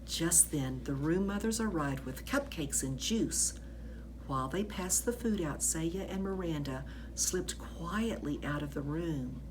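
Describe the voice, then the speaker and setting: Slow-paced woman reading a children's story, noisy recording. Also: sits low. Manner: animated, with drawn-out emphasis on key words. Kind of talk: expressive read-aloud narration for children.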